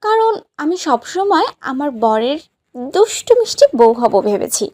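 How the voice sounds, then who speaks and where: Casting: actress as teasing, bossy young bride for romantic drama; high pitch, lively swoops, insistent. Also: female voice, unhurried. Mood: dreamy, coaxing. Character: playful, demanding young new bride, sweetly bossy. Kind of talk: romantic audio story acting.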